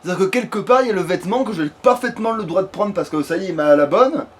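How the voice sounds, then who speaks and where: A man's voice: high voice, rapid-fire. A man giving casual, rambling let's play commentary peppered with swearing. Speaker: let's player.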